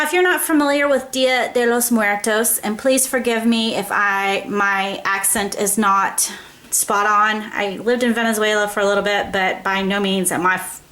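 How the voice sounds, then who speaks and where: A woman hosting her own craft show, walking viewers through a project step by step in a casual, chatty way and often checking in with a quick "okay?". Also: a little high, fairly bright tone.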